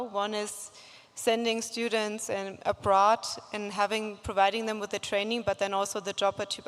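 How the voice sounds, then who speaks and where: Woman professor, panelist, mid pitch, measured delivery, large hall echo. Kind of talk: panel discussion. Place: conference hall. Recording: reverberant conference hall.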